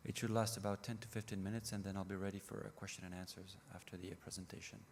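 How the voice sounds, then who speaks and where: Halting French-accented man presenting, low voice. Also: level tone. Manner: halting, many fillers and restarts. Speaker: panel speaker. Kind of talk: conference presentation. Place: conference hall. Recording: reverberant hall with faint noise.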